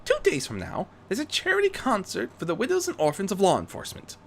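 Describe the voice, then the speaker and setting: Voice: medium pitch, huge pitch swings, fast talker, slight background noise, voice pushed up. A performer voicing a young female unicorn vigilante, refined and determined, speaking in an earnest, theatrical, articulate way for a fan fiction reading.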